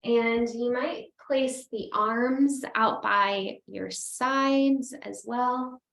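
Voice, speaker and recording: Woman teaching restorative yoga, takes her time, gentle, roomy sound and a bit far from the mic. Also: on the high side, creaky. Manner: gentle, unhurried, invitational. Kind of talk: slow guided restorative yoga instruction. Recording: roomy echo, distant microphone.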